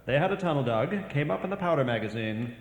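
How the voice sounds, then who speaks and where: A man's voice: fairly high pitch, expressive, fast talker. An actor playing a dutiful soldier reporting to his officers in a radio drama. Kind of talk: radio theatre acting. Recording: echoey hall reverb.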